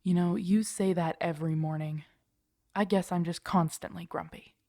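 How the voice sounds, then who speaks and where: A woman's voice: on the low side, fairly even intonation, moderate pace, vocal fry, warm tone, no room sound. A woman acting in an audio roleplay as a grumpy, protective zombie apocalypse survivor, blunt and sardonic, talking intimately to her companion. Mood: grumpy, exasperated.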